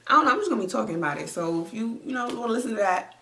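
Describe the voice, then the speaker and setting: A woman's voice: a little high, animated, racing, pushed and bright. A young woman with a congested voice, chatting in a rambling, filler-heavy way as she reads viewer comments from home. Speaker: vlogger. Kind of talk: casual chat reading viewer comments. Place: at home, lying down.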